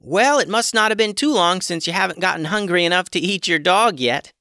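A man's voice: high voice, big pitch swings, a little slow, bright, forceful tone, dry recording, pitched above the performer's own voice, exaggerated voice. A man voicing an awkward talking male chicken who makes dry jokes, in a storybook reading.

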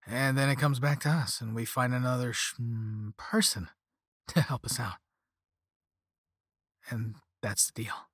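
A man's voice: mid-range voice, a little slow, pauses often, a bit bright, slightly rough, no room sound, studio quality. A man voicing a flippant, easygoing young rich slacker in a story, with a casual slangy drawl and sarcastic half-apologies. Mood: matter-of-fact. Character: flippant, laid-back young rich slacker on a phone call.

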